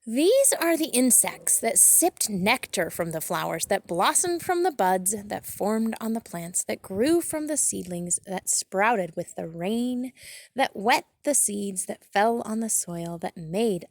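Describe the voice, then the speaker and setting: A woman's voice: mid-range voice, vocal fry, dry recording, clean studio sound. A woman reading a picture book aloud like a teacher, adding simple, chatty explanations for children outdoors in a garden.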